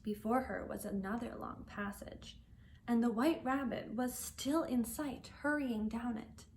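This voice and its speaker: A woman reading a children's story aloud as a teacher would, with expressive, deliberate phrasing for learners. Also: fairly high pitch, a little hiss or hum.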